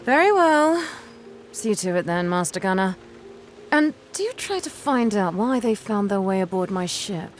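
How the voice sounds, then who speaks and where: Woman acting a commanding, measured sea captain. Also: medium pitch, expressive, faint music behind, lightly acted. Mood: stern. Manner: measured, authoritative. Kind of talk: audio drama acting.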